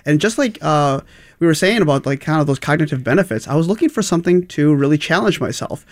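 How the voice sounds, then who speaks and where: Upbeat young man, a bit higher voice. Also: lively intonation, fast. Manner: upbeat, with many fillers. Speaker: guest, language learner. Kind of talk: casual personal story.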